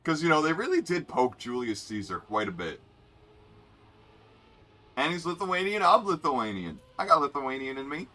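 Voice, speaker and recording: Bright, lively young man streaming. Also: fairly high pitch, moderate pace, long pauses. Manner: rambling, joking, reads out player names. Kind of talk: casual live game commentary.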